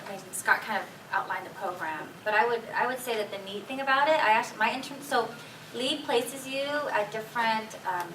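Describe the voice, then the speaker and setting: A woman's voice: on the high side, fairly quick, a bit bright. A woman speaking as a panelist in conversational tones, hedging and saying "like" often. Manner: conversational, hedging, says "right" and "like". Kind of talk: casual panel talk. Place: conference hall. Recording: echoey hall from far off, noisy.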